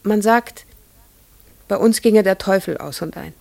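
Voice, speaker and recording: An actress playing a troubled middle-aged farmer's wife in a German radio play, guarded, halting and fearful. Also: female voice, mid-range voice, gravelly, darkish tone. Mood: uneasy, guarded.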